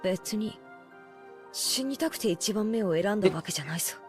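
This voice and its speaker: A woman's voice: mid-range voice, lively intonation, quick pace, lightly acted. An actress playing a tough-talking, blunt and defiant young woman heir in a Japanese visual novel. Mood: resolute. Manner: blunt, rough-spoken, emphatic. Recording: game audio with music.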